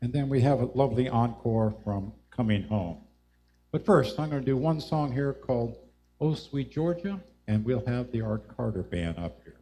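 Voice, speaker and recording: A man's voice: mid-range voice, expressive, normal speed, mellow, a little hiss or hum. A man casually hosting a live music show. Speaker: host and band singer. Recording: roomy live sound with music.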